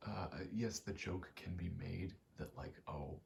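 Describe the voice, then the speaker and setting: A man rambling casually in a soft, half-whispered ASMR style, telling stories in slangy, meandering, sweary speech. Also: low voice, unhurried, gravelly, a little hiss or hum. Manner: soft-spoken, often dropping into whisper. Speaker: ASMR video host.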